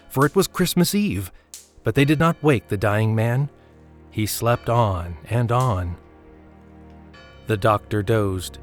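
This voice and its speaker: A male narrator reads a dramatized story in a measured, scripted style. His pitch is medium, and music plays clearly behind him.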